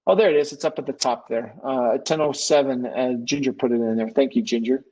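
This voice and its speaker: A man's voice: medium pitch, brisk, a little mellow, poor audio. A man presenting an informal live webinar Q&A, conversational and thinking aloud, often correcting himself as he goes. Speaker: webinar presenter, business consultant.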